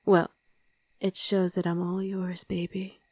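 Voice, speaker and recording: Breathy, slow-speaking vampire wife played by a woman. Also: fairly low pitch, level tone, a little mellow, dry recording. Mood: affectionate. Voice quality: breathy, often whispering. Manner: slow, tender, coaxing murmur. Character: loving, possessive vampire wife, tender and wistful. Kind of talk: intimate ASMR roleplay. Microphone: close, dry microphone.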